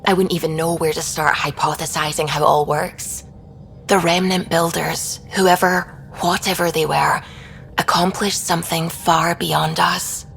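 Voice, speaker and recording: A woman playing a thoughtful, devout scientist on a space expedition, explaining things in a measured, reflective way in video game dialogue. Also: on the low side, steady tone, gravelly, a bit bright, a little hiss or hum, low-quality recording. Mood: thoughtful, curious. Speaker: science officer character.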